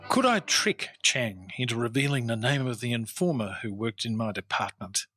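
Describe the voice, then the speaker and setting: An actor playing a shrewd, wry Victorian-era customs inspector in a radio detective drama, formal and measured as he talks and narrates his cases. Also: medium pitch, animated, sharp tone, soft background music. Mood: measured, confident.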